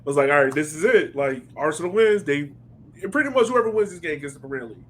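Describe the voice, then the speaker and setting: A man's voice: fairly high pitch, lively intonation, fast talker, fairly bright tone, slight background noise. A man hosting a casual sports podcast chat, putting questions to his guests in informal speech. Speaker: podcast host.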